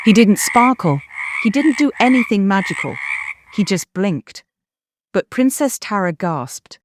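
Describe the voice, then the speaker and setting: A woman narrating a children's bedtime story in an expressive, scripted way. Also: mid-range voice, noisy background.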